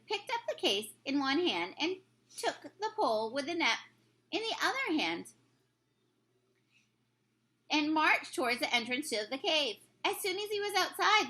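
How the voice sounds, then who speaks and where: A woman narrates a children's storybook like a teacher reading aloud. Her voice is notably high. It has a bright, clear-cut edge.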